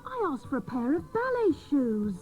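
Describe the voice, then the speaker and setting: A woman's voice: high voice, very animated, moderate pace. A woman playing a dotty, plucky detective, exclamatory and wide-eyed, in comic radio drama dialogue. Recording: muffled old cassette radio recording, faint music.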